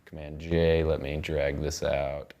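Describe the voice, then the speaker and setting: A man's voice: rumbling low, little pitch movement, measured pace, darkish tone. An American man in his thirties giving a casual, loosely phrased live tutorial as a music production instructor. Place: indoor recording studio control room.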